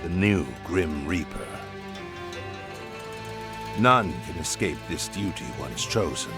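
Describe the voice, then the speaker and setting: An actor playing a grave, ominous supernatural figure in a video game cutscene, speaking in a solemn, formal, portentous manner. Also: male voice, low voice, lively intonation, slow. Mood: solemn, brooding. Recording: music behind the voice.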